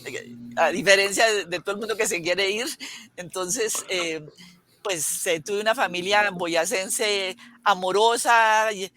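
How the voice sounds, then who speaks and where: A woman's voice: medium pitch, fairly even intonation, a little slow, fairly bright tone. An older woman with a Colombian accent, a guest educator reminiscing in an interview, rambling through her stories with frequent restarts and fillers.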